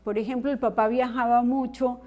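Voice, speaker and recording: A middle-aged woman with a fairly high voice hosts a podcast conversation with her daughters. She talks in a calm, measured way, explaining ideas the way a psychologist would. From Colombia. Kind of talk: reflective family conversation.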